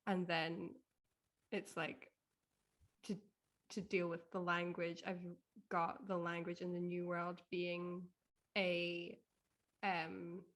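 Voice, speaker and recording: A woman talking casually as a guest, thinking aloud in a halting, self-deprecating way as she asks for advice. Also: lowish voice. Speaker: guest, fiction author. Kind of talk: casual chat, asking for advice.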